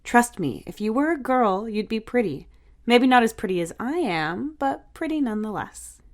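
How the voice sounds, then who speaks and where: A breezy, bossy young sister played by a woman narrator for an audiobook, lifted high above her normal reading voice. She teases and coaxes in an exclamatory way, but she cares underneath. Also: expressive, normal speed. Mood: playful teasing. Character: teasing, bossy but caring older sister, young beautician. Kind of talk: audiobook character dialogue.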